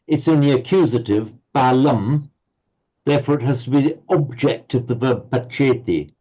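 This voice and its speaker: A man's voice: medium pitch, moderate pace, dark tone. A man teaching a Pali class online, explaining patiently and often stumbling over repeated words. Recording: slightly muffled audio.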